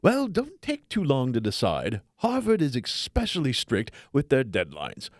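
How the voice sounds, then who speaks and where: A man's voice: mid-range voice, wildly swooping pitch, a little slow, dry recording, studio quality. A man voicing a casual, admonishing male character in audiobook dialogue. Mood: fatherly, instructing.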